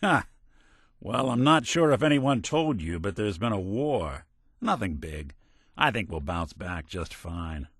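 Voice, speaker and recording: A man with an American accent playing a gruff, sardonic synthetic detective in a video game, with wry, hard-boiled, deliberate phrasing. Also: lowish voice, big pitch swings, a bit bright, dry recording, studio quality, full character voice. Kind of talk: film-noir detective game voice acting.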